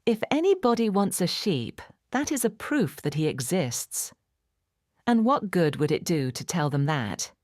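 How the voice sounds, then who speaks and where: A woman narrating a children's storybook in a measured, clearly phrased, expressive bedtime-story manner. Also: fairly low pitch, no room sound, clean studio sound. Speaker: audiobook narrator.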